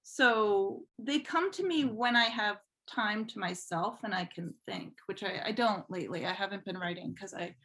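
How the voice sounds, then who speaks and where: A woman talks as a guest in a relaxed interview. She is chatty and informal, with a self-deprecating way of explaining herself. Her pitch sits in an ordinary middle range for a woman. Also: normal speed, few pauses. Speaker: podcast guest, fan fiction writer. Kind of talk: casual conversational interview.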